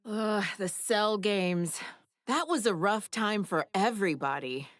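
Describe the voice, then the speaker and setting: A woman voicing a brainy, candid woman inventor in an animated video game, wry and opinionated. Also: medium pitch, a little slow, dry recording, studio quality. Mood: exasperated. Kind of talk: animated game character dialogue.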